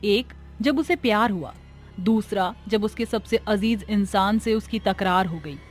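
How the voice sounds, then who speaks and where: A woman's voice: mid-range voice, a little slow, soft background music. A woman reading scripted narration for a cartoon story.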